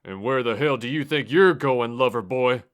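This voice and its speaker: A man voicing a panicking, furious teenage boy driver who yells and swears threats. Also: medium pitch, moderate pace, pitched above the performer's own voice, lightly acted.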